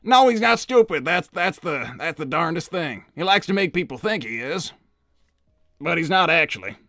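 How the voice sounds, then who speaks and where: A man's voice: fairly high pitch, big pitch swings, moderate pace, pushed and bright, no room sound, clean studio sound, heavily acted. A man voicing a genial, graying cartoon hedgehog who rambles hesitantly and apologizes as he is interviewed. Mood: candid. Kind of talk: improvised in-character interview.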